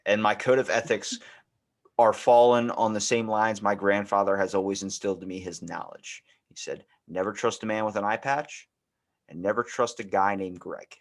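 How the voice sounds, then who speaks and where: A man's voice: on the low side, fairly even intonation, normal speed. A man hosting a podcast in casual, comedic chat, rambling and slangy as he jokes. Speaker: podcast host.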